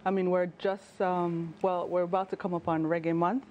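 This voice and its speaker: A woman in her thirties with a Jamaican accent, a travel journalist talking as a guest in a TV studio interview, animated and chatty with fillers and restarts. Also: fairly low pitch, normal speed, phone-quality sound, slight background noise. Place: TV news studio. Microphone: lapel mic.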